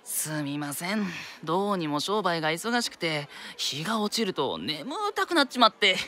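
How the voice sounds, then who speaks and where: A woman's voice: on the low side, big pitch swings, normal speed, pushed and bright. A woman playing a cheerful, naive teenage boy shopkeeper in a radio drama, with polite, eager merchant patter. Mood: sheepish. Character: cheerful naive teenage boy, young secondhand-shop owner. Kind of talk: anime-style radio drama acting.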